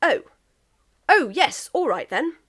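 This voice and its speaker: A woman voicing a tipsy, pompous, stubborn man in a story, with halting drunken declarations. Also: high-pitched, very animated, a bit bright, voice pushed up, exaggerated voice. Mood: muddled, agreeable.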